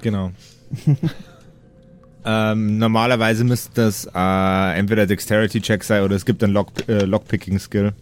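A man's voice: on the low side, level tone, moderate pace, clear, resonant tone. A man working as game master, narrating an improvised tabletop role-playing game.

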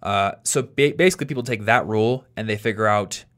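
A man in his late twenties hosting a podcast, explaining things in a relaxed chat while thinking aloud and restarting his sentences. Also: on the low side, quick pace. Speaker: podcast host.

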